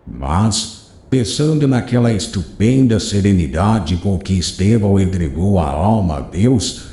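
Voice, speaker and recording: Guy doing wise old rabbi, low mellow voice, lots of lilt, slow solemn preaching. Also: expressive. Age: older. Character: wise old male rabbi teacher, gentle and consoling. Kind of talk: audiobook character reading. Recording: roomy echo.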